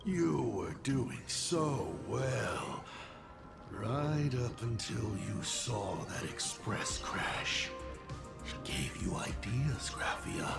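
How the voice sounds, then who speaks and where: Gravelly, sinister villain lord. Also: male voice, mid-range voice, lively intonation, full character voice. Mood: gloating menace. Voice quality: gravelly, breathy near-whisper. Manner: slow, drawling, theatrical taunting. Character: sinister, mocking male lord of destruction, theatrical villain. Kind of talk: video game voice acting. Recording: game music behind the voice.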